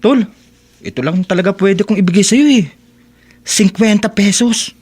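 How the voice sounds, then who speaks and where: A Filipino actor playing a loyal, concerned teenage best friend who speaks cautioningly in a radio drama. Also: male voice, high voice, moderate pace, darkish tone, breathy edge, soft background music. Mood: apologetic. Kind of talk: Tagalog radio drama acting.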